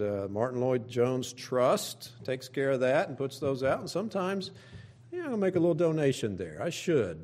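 A man's voice: mid-range voice, a little mellow. A middle-aged man with an Oklahoma accent preaching a sermon as a pastor, in a folksy, conversational way with emphatic rhetorical questions. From Oklahoma, United States. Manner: folksy, plain-spoken, conversational. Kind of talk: sermon to own congregation.

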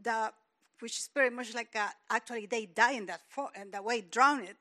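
A woman from the audience, speaking haltingly with many pauses into a handheld mic. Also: fairly high pitch, bright, forceful tone. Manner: hesitant, halting speech with fillers. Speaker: audience member.

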